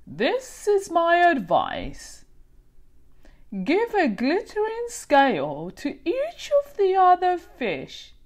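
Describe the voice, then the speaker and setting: A wise, mysterious female storybook octopus, acted by a woman, speaks very slowly and emphatically. Her delivery is solemn, with raised, sweeping pitch. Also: a little mellow, exaggerated voice. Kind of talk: children's storybook character voice.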